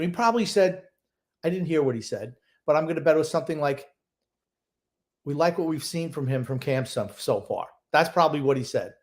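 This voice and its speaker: A man's voice: fairly high pitch, a bit bright. An older man co-hosting a sports podcast, giving opinionated, sardonic takes in a casual, grumbling way.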